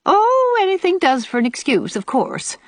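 A woman voicing a sour, sarcastic old country aunt with a sharp tongue, in an audiobook. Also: fairly high pitch, sing-song, normal speed, no echo, voice pushed up. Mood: sniffing, scornful. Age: older. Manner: disagreeable, sarcastic, nagging. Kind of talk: audiobook character voice.

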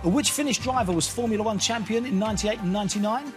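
A man hosting a television quiz show, introducing contestants in a brisk, playful way and firing off questions. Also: high-pitched. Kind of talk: upbeat scripted game show presenting. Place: television studio. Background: game show music.

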